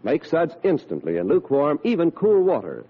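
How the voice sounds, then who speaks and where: A man reading a scripted 1940s radio advertisement as an emphatic sales pitch. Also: huge pitch swings, normal speed, husky. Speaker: commercial announcer. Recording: muffled old radio recording.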